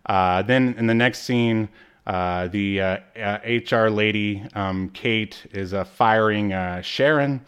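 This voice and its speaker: A man with a fairly low voice co-hosts a podcast. He talks casually and haltingly, feeling his way through a recap as he checks his notes. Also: fairly bright tone, clean tone. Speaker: podcast co-host. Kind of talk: casual chat recapping a TV episode.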